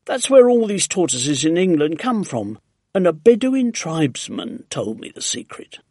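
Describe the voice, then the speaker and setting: A man voicing a shy, earnest storybook gentleman who speaks politely and solemnly, with some hesitation. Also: fairly high pitch, very animated, normal speed, no room sound, studio quality. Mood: hesitant, earnest. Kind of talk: storybook character voice.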